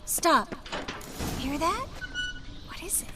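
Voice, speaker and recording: A woman's voice: very high-pitched, sing-song, takes it slowly, noisy background, full character voice. A woman voicing a spirited, determined sorceress in a video game, direct and wry. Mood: alert.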